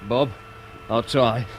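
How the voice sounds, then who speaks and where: An actor playing an eager, deferential spaceship engineer with a creaky voice and obliging manner, in 1950s radio science fiction. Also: male voice, mid-range voice, fairly even intonation, very slow. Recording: noisy vintage 1950s radio recording.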